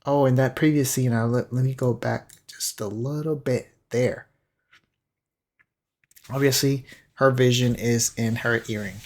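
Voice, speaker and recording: A man giving casual analytical commentary on a video, hesitant and halting as he thinks aloud. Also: mid-range voice, fairly even intonation. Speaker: commentator on a game character trailer.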